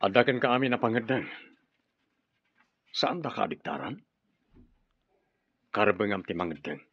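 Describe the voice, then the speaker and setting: A man's voice: fairly low pitch, expressive, normal speed, breathy edge, no echo. A man acting a grave, demanding rebel leader in a radio drama, with an Ilocano accent. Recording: dry, dull lo-fi audio with music.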